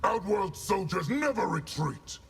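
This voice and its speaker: An actor playing a stern, ambitious military general in a fighting game, speaking in a commanding, deliberate manner. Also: male voice, high voice, big pitch swings, gravelly, a bit bright, in a large hall, a little hiss or hum, heavily acted. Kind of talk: fighting game character dialogue.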